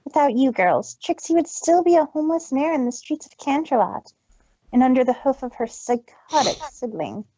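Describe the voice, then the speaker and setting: A woman's voice: sits high, lively intonation, moderate pace, warm tone, highs cut off, slight background noise. A woman voicing a showy female pony magician who speaks theatrically in the third person, in a fan fiction reading. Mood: earnest.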